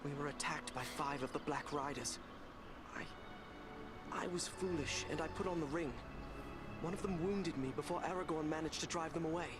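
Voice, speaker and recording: An earnest young fantasy hero played by a man with a fairly high voice. His speech is heartfelt and formal, in game cutscene style, set over loud music. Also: normal speed, natural voice. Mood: troubled, haunted. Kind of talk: video game cutscene acting.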